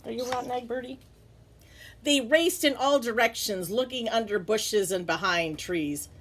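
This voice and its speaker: A woman teacher reading a children's picture book aloud in an animated way, with playful, chatty asides and questions to her pet bird. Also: fairly high pitch, normal speed, breathy edge.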